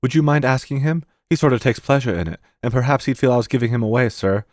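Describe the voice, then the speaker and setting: A man's voice: mid-range voice, quick pace, a little mellow, dry recording, clean studio sound, pitched above the performer's own voice, natural voice. A man voicing a serious, respectful young gardener speaking to his employer. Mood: earnest. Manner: earnest, respectful.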